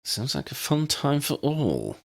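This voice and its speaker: An unflappable older gentleman of a storybook adventure, as a male narrator puts him on. He speaks formally and dryly, with courteous self-assurance and a widely swinging melody. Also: a little high, wildly swooping pitch, unhurried, creaky, slightly rough, pitched above the performer's own voice, full character voice. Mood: dryly amused.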